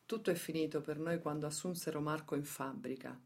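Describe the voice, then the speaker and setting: A woman acting as a grave storyteller in radio theatre, with measured phrasing and dramatic emphasis. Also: sits low, darkish tone. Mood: sombre. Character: grave female storyteller recounting workers' tragedies. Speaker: stage and radio actress.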